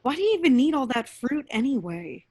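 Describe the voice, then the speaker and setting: A woman playing a wistful, reserved, gently wry young woman in a staged comedy reading. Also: high-pitched, sing-song, a little fast. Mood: downcast. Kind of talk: staged reading of a comic play.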